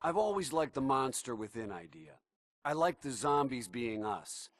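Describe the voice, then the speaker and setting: A man's voice: medium pitch, very animated, moderate pace, no echo, clean studio sound, close to an everyday voice. A man playing a fussy, self-important film director in a game, with a drawling, theatrical manner. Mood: musing.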